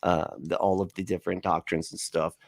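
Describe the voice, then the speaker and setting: Skeptical man with a lowish, close dry voice. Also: flat delivery, a little fast, dry recording. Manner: rambling, argumentative, skeptical. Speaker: host of an atheist reaction livestream. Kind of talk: casual reaction commentary.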